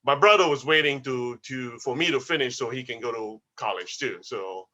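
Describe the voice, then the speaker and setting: A man's voice: mid-range voice, normal speed, a crowd in the background. A man in his fifties from Malaysia, talking about his life as an interview guest with many small restarts. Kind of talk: casual interview.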